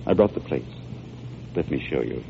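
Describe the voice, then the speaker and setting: An actor playing an earnest, regretful manager in a radio drama, speaking formally and solemnly. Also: male voice, rumbling low, lively intonation, normal speed, creaky, heavily acted. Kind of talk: 1940s radio drama acting. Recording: noisy, muffled old radio recording.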